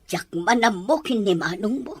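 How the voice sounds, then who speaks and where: A woman's voice: mid-range voice, expressive, normal speed, husky. A woman playing a worried, fretful older mother of grown sons in an Ilocano radio drama, speaking emphatically.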